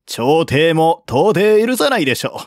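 Man voicing a deferential envoy, slow and higher-pitched. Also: sing-song, dry recording, clean studio sound. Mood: earnest, deferential. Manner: formal, deliberate, respectful. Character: deferential, reasoning male envoy reporting to his prince. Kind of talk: audiobook character reading.

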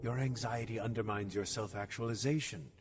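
A man acts a calm therapist in an audio drama. His voice sits low, with a measured, guiding delivery that probes gently. There is faint noise behind him. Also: lightly acted. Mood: soothing. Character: calm, probing male therapist with a sinister streak.

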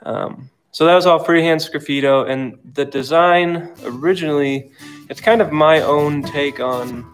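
A man's voice: fairly high pitch, unhurried, faint music behind, poor audio. A man in his thirties, an artist, talking informally about his own work, recorded indoors on a close self-shot video. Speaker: ceramic artist. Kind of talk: casual informal talk about own artwork. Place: indoors in a room at home.

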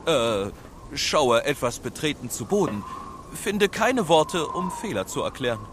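Casting: dutiful SWAT officer, stiffly formal reports, high voice with lively swings, radio play with music behind. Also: male voice, a little slow, slight background noise. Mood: sheepish. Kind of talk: children's audio drama acting.

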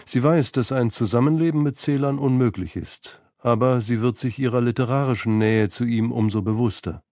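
Male narrator for a literary radio documentary, mid-pitched, soft dark tone, very dry close recording. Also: normal speed, no room sound. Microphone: close studio microphone.

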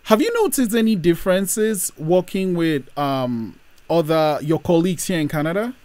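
A man's voice: fairly high pitch, very animated, normal speed, darkish tone, no room sound. A man in his thirties hosting a panel interview, introducing guests and putting questions to them over a video call. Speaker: podcast host.

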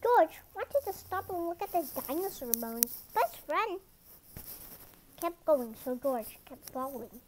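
A storybook reader with a very high voice reads a children's picture book aloud. The delivery swings widely in pitch and is expressive, with occasional stumbles. The recording has some background noise. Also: unhurried, rough recording. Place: indoors at a wooden table. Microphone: camera over the book.

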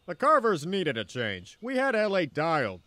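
A man's voice: fairly high pitch, wildly swooping pitch, moderate pace, sharp tone, dry recording, full character voice. A man playing an upbeat, corny, enthusiastic middle-aged dad in an audio drama. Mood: excited, cheerful. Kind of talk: fan audio drama acting.

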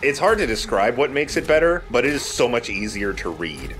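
A man's voice: mid-range voice, big pitch swings, brisk, a bit bright, faint music behind, poor audio. A man hosting a let's play, talking casually as he comments on a video game.

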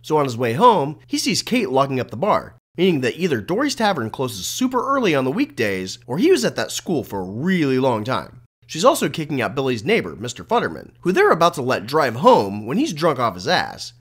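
Sarcastic man with very lively intonation. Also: mid-range voice, fairly quick, hardly any pauses, slightly rough. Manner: sarcastic, crude, profane. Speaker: narrator of a comedic film recap. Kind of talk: scripted comic narration as a bedtime story.

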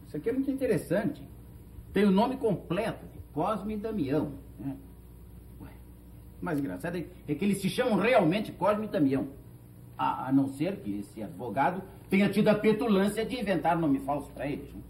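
Casting: fussy, suspicious Brazilian old gent, highish voice, swinging pitch; vintage TV drama. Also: male voice, big pitch swings, normal speed, darkish tone. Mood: suspicious, incredulous. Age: older. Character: excitable, suspicious old gentleman. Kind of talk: 1970s telenovela acting. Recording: noisy old 1970s TV soundtrack.

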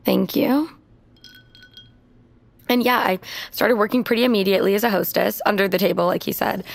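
An actress playing a wounded, determined young woman in an audio drama, blunt but halting and naturalistic. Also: medium pitch, fairly even intonation, vocal fry, background noise, close to an everyday voice. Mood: weary, confessional. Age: young adult.

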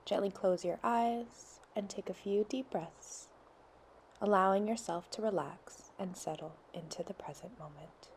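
A woman leading a guided meditation in a soft, soothing way, sometimes dropping to near whispers. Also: mid-range voice, measured pace, slight background noise.

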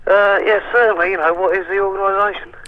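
A man's voice: sits high, steady tone, quick pace. A young man chatting casually and easily over the telephone. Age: young adult. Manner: easygoing, laughs readily. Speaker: prank call victim. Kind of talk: casual phone chat. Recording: dull, band-limited telephone line.

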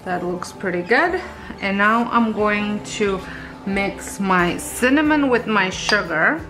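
A woman hosting a home baking video, talking through each step in a relaxed, chatty way with small self-corrections. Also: mid-range voice, slow, music in the background, low-quality recording. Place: home kitchen.

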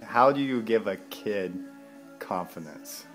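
A man asking questions in a casual interview, in a hesitant, halting way. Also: lowish voice, expressive, fairly bright tone, faint music behind, rough recording.

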